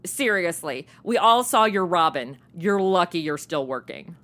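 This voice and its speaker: A woman's voice: fairly low pitch, expressive, unhurried, fairly bright tone, slight background noise. A woman playing a flippant, chatty young woman with a sassy, irreverent way of talking in a comedy audio drama. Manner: sassy, irreverent, modern slang.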